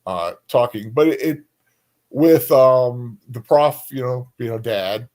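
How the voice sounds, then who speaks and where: A man chatting casually as a livestream co-host, speaking in halting, repetitive phrases that often trail off. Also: mid-range voice, darkish tone. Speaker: co-host of a book discussion stream.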